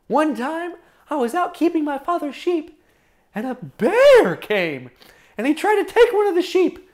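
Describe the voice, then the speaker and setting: A small, brave shepherd boy from a Bible story. The voice leaps very high and squeaky, earnest and insistent, with lively swings in pitch. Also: unhurried, exaggerated voice. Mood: eager, boastful.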